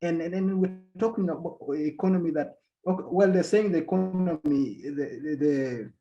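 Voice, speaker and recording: A man from Uganda giving an academic policy talk as a panel speaker, halting often and repeating words as he restarts his sentences. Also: fairly high pitch, dark tone, faint music behind. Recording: dull lo-fi sound.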